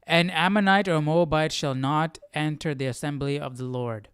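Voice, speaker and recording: A man's voice: fairly high pitch, normal speed, fairly bright tone, smooth, dry recording, studio quality. A man hosting a casual Bible study stream, thinking aloud in a questioning way and often repeating and rephrasing himself as he reasons. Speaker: livestream Bible study host.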